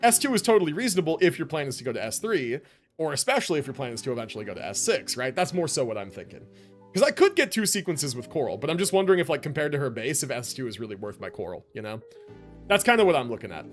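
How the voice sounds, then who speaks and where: A man's voice: mid-range voice, sing-song, quick pace, faint music behind. A man casually commentating a live game stream, chatting with viewers in rambling, informal gamer slang.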